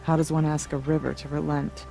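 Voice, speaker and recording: A woman's voice: low-pitched, flat delivery, a little fast, gravelly, dark tone, soft background music. An actress playing a weary, resigned woman of a tribe in a video game, speaking in a somber, reflective manner. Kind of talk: video game character acting.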